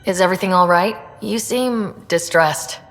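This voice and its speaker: An actress playing a courteous, inquisitive young human explorer in a video game. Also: female voice, lowish voice, moderate pace, a bit bright. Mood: polite curiosity. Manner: courteous, questioning. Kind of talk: video game dialogue acting.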